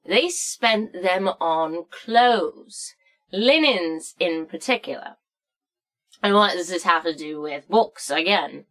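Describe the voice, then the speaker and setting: Woman playing a slow-talking boozy old biddy. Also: lowish voice, animated, fairly bright tone. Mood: wry lecturing. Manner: theatrical, rambling, tipsy, halting delivery. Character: crotchety, boozy, foul-mouthed old woman storyteller. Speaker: story-time host. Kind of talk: comic storybook narration and history lesson.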